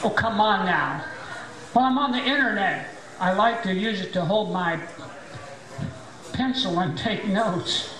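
A man in his seventies doing stand-up comedy on a small tavern stage with a handheld mic. He has a squeaky, high voice after voice surgery and tells dry, self-mocking jokes. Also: a little slow, pauses often. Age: 70s. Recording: echoey bar room with crowd.

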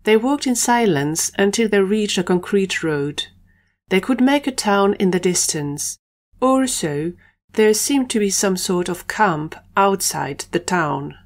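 A woman's voice: mid-range voice, expressive, unhurried. A woman reading scripted narration for an audio adventure story.

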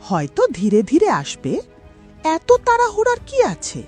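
An actress playing a fretful, demanding village wife in a cartoon. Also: female voice, extremely high pitch, wildly swooping pitch, moderate pace, darkish tone, soft background music, heavily acted. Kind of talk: cartoon voice acting.

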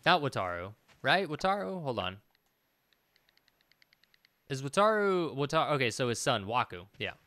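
A man's voice: mid-range voice, very animated, moderate pace, pauses often, bright, forceful tone, no room sound. A man in his thirties giving casual, rambling game commentary, thinking aloud as he plays. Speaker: gaming video host.

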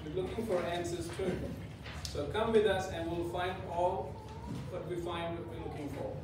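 An agreeable tin man from an amateur pantomime, played by a man. The lines are plainly read in a high voice. He sounds far from the mic in a very echoey, noisy room. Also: steady tone, normal speed, close to an everyday voice. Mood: polite. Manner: plain script-read delivery. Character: polite, agreeable Tin Man seeking a heart. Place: community room with seated audience, far camera. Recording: distant, echoey, very noisy hall recording.

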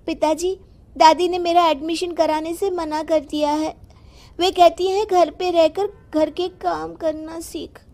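A woman's voice: extremely high pitch, level tone, measured pace, mellow, pitched above the performer's own voice. A woman voicing an earnest young schoolgirl in a cartoon story. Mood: pleading.

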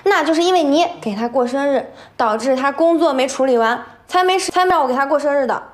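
An actress playing a blunt, indignant younger sister in a romantic TV drama. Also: female voice, sits high, animated, fast talker. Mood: accusing. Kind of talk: naturalistic TV drama acting.

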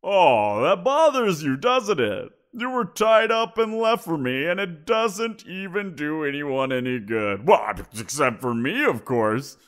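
A man's voice: a little high, big pitch swings, measured pace, few pauses, fairly bright tone. A man voicing a smug giant wolf creature. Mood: teasing. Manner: teasing, amused, with chuckles. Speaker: voice actor. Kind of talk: audio roleplay monologue.

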